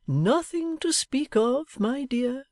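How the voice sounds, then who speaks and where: Lady reader playing a sweet sentimental old dad, tender and speechy, wobbly lively voice, dry sound. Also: sits high, no echo, voice pushed up, exaggerated voice. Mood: fond. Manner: tender and ceremonious, slow and tremulous. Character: fond, cherubic older father, sentimental and gentle.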